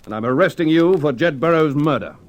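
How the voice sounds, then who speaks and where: An actor playing a shrewd police inspector in an old radio crime drama, brisk, probing and authoritative. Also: male voice, fairly high pitch, expressive, slight background noise, lightly acted. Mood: triumphant, stern. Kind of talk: 1950s Australian radio crime drama acting.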